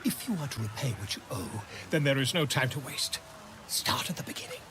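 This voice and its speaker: A man's voice: mid-range voice, animated, a little fast, noisy background, close to an everyday voice. A man voicing a pompous, theatrical author full of self-importance in a fantasy video game. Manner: pompous, florid, declaiming. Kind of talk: video game dramatic acting.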